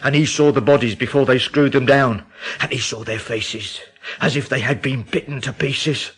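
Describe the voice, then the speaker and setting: Guy playing a scared worked-up english midlands man, radio play, urgent dramatic telling, mid pitch. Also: normal speed, breathy edge, natural voice. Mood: grim, fearful. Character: alarmed, agitated English Midlands man spreading rumours of terror.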